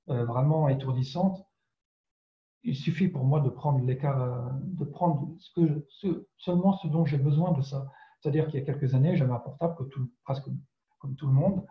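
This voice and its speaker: A man's voice: medium pitch, moderate pace. A man from France, a writer answering interview questions reflectively and hesitantly, searching for his words. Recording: dull, roomy, echoing sound.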